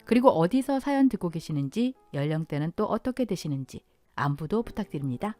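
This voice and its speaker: A woman's voice: on the low side, animated, fairly quick, dark tone, dry recording, soft background music. A woman in her thirties reading a first-person family revenge story as a scripted narration, telling it in a dramatic, emotional way.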